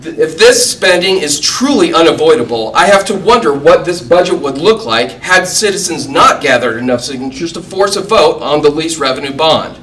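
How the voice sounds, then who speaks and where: A man giving a prepared, critical public comment at a school board meeting, in a pointed, measured delivery. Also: a little high, fairly bright tone. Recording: distant mic, faint room noise.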